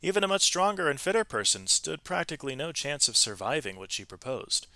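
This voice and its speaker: A man reading a storybook aloud to students in an expressive, emphatic way, with brief explanatory asides to his listeners. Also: fairly high pitch, brisk, no echo. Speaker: read-aloud narrator, teacher reading to students.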